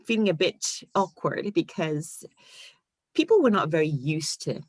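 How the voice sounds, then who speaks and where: A woman in her forties from Ghana, a guest on a video-call interview show, telling her story in a lively way and laughing often. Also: low-pitched, a little slow, no echo. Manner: animated storyteller, laughs often, acts out quoted voices. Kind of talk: casual interview conversation.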